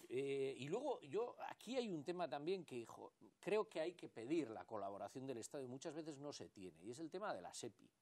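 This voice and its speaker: A man speaking as a political candidate in a televised debate, halting and repeating words as he makes his point. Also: mid-range voice, very animated, darkish tone.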